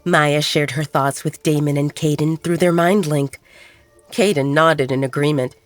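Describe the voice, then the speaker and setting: A woman's voice: sits low, steady tone, unhurried, no room sound, faint music behind. A woman reading scripted fiction as an audiobook narrator.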